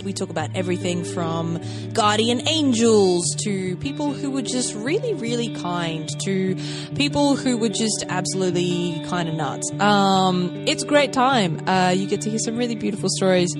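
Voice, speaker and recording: A woman's voice: medium pitch, normal speed. A woman podcast host giving a casual promo in a chatty, informal way. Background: guitar music.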